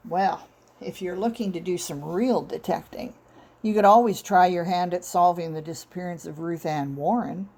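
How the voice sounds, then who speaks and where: A woman's voice: fairly low pitch, moderate pace, a little mellow. A woman voicing a genial, seasoned old Southern man who tells tales in a folksy, cadenced way.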